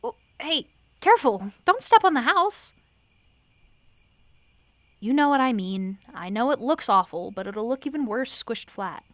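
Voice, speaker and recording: Soft-spoken, weary female roommate, acted by a woman. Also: fairly high pitch, sing-song, no room sound. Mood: teasing, easygoing. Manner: soft-spoken, casual, halting, dry teasing. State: sleepy, weary. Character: tired, wry, sleepless female roommate, bantering fondly. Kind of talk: soft-spoken audio roleplay acting.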